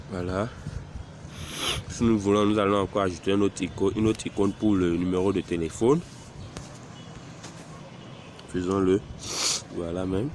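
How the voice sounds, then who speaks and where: A man with a cold walking through a software tutorial step by step, hesitant and unhurried as he clicks through each setting. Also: sits low, noisy background. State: has a cold. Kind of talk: screen-recorded software tutorial.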